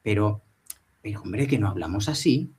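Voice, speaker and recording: A man's voice: lowish voice, normal speed, breathy edge. Brief: man on a dubbing panel, emphatic, questions the audience. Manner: animated, emphatic, rhetorical questions. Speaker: dubbing professional. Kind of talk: panel talk at a professional meeting.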